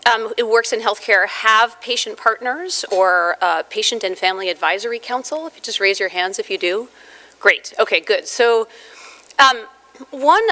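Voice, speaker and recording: A woman's voice: mid-range voice, sharp tone. A woman family doctor gives chatty welcome remarks to an audience, full of restarts and fillers. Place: lecture room with audience.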